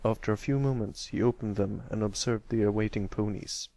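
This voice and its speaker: A man's voice: lowish voice, fairly even intonation, brisk, warm tone, no echo. A man reading a story aloud as an amateur narrator, in a scripted storybook style. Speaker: amateur fan fiction reader.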